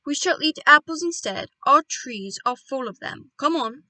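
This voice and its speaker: A woman's voice: high voice, animated, moderate pace, pushed and bright, no echo, heavily acted. A woman voicing a bossy, proud father bird in a storybook, emphatic and commanding. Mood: indignant.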